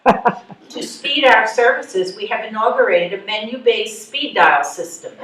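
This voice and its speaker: A woman's voice: on the high side, a little slow, fairly bright tone. A woman playing a formal automated phone greeting in a live readers theatre reading. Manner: formal announcement delivery. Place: live stage reading in a room. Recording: echoey room, distant microphone.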